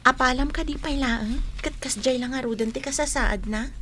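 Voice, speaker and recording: A woman's voice: sits high, normal speed, pushed and bright, a little hiss or hum. A woman playing a supportive young female schoolmate in a radio drama, earnest and understanding. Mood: defending, sympathetic.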